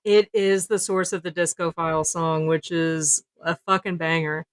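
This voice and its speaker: A woman's voice: low voice, fairly even intonation, normal speed, clean tone, no echo. Giggly, informal woman podcast co-host. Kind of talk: casual podcast discussion.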